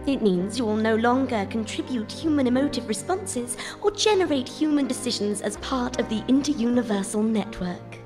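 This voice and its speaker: A woman voicing a calm, formal female computer overseer in an audio drama. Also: fairly high pitch, moderate pace, few pauses, close to an everyday voice. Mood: cold. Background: music.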